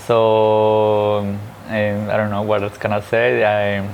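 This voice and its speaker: A man's voice: fairly low pitch, monotone, takes it slowly, gravelly, a little mellow, slight background noise. A nervous young man, a student guest rambling and apologizing as he introduces himself. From Colombia. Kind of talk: casual interview answers.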